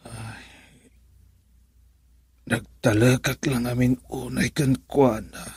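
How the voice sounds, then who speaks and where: An actor playing an ailing, troubled older father in a radio drama, husky and halting. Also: medium pitch, steady tone, dry recording. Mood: weary, troubled. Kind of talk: Ilocano radio drama acting.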